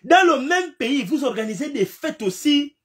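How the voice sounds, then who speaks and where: A man with a fairly high voice gives a fiery political commentary. His pitch swings widely as he repeats phrases, piles up rhetorical questions and sometimes shouts. He has a slight cold. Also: big pitch swings, moderate pace. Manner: emphatic, repetitive, rhetorical questions, rising to shouts.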